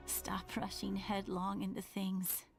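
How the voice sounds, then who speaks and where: A woman voicing a tender, self-blaming younger sibling in a video game drama, weak and resigned, with halting, sighing delivery. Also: medium pitch, completely flat delivery, vocal fry, over music. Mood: sorrowful, tender.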